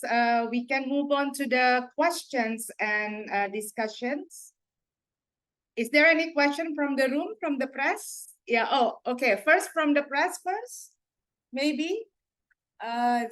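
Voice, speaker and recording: A woman moderating a panel discussion and taking questions, relaxed and hesitant with many fillers. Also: high voice, rough recording.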